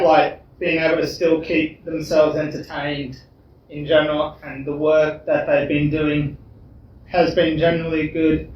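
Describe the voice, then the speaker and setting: A young man giving a hesitant, casual opinion from an audience. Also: on the high side. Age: young adult. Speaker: audience member. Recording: very echoey room, distant, dull, faint noise.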